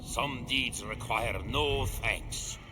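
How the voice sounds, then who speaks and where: An actor playing a proud, hearty dwarf warrior with a gruff, gravelly, blustering voice. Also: male voice, medium pitch, very slow, background noise.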